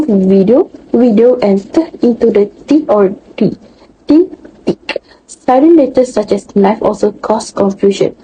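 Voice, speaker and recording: A woman's voice: on the high side, dark tone, slight background noise, low-quality recording. A young woman student reading a prepared presentation carefully. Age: young adult. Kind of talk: read presentation.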